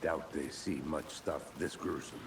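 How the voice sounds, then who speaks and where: An actor playing a gruff, world-weary FBI agent in a video game, with a dry, grumbling, laconic delivery. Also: male voice, rumbling low, steady tone, unhurried, full character voice. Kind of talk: cinematic video game acting. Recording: distant mic, faint ambient noise.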